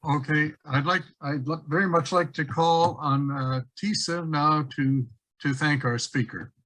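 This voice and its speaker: A man's voice: on the high side, steady tone, measured pace, a bit bright, dry recording, low-quality recording. A man hosting a webinar, handing over and closing with thanks on a video call, plain and hesitant. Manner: plain, with restarts and fillers. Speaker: organizer and host of the webinar.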